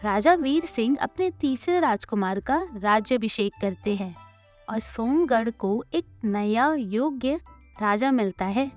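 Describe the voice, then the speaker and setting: A woman's voice: fairly high pitch, lively intonation, measured pace, darkish tone, faint music behind. A woman narrating a scripted children's story as a storyteller.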